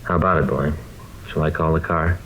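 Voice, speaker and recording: Deep-voiced American police detective. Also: male voice, fairly even intonation, fairly quick, fairly bright tone. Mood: calm, coaxing. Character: calm, coaxing male police detective partner. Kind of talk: 1950s American radio drama acting. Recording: lightly noisy old radio recording.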